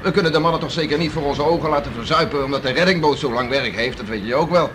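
Hardy, bluff beachcomber husband, male actor, slightly high voice, emphatic, noisy vintage radio drama. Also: fast talker, natural voice. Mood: boastful, emphatic. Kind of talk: 1960s radio play acting. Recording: noisy old radio play recording.

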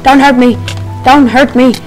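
A young woman giving casual, excitable commentary while playing a game, squealing and making playful sing-song noises. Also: high voice, moderate pace, faint music behind. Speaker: gamer. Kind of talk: casual let's play commentary.

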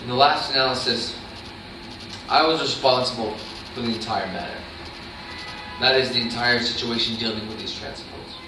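A man's voice: medium pitch, fairly even intonation, normal speed, long pauses, natural voice. A young man playing a matter-of-fact, evasive Nazi camp commandant under interrogation in a staged reading. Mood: matter-of-fact, explaining. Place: meeting room, staged reading at a table. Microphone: far camera microphone. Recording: very echoey room, far microphone, hiss.

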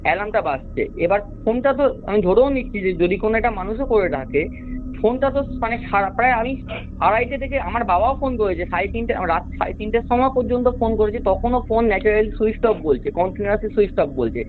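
A man's voice: high voice, lively intonation, quick pace. Man, West Bengali accent, phone caller, narrow-band line, music underneath, repetitive explaining. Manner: rambling, repetitive, earnest explaining. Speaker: caller recounting strange events at home. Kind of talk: spontaneous phone account. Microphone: phone call.

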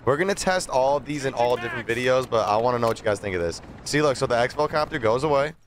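A man's voice: mid-range voice, lively intonation, fast. A young man who streams games, giving casual gameplay commentary and tips in slangy, repetitive explanations. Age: young adult. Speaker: game streamer. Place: small streaming room at a desk. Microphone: close desk microphone. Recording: game gunfire and vehicle noise behind. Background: video game gunfire and ambience.

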